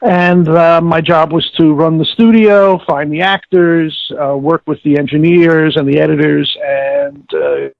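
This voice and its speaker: Older american guy being interviewed, slightly high gravelly voice, lots of searching for words, phone line sound. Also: fairly even intonation, no echo. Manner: halting, hesitant, searching for words. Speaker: interviewee, former audiobook director and producer. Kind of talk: interview recollection. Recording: thin band-limited phone-like call audio.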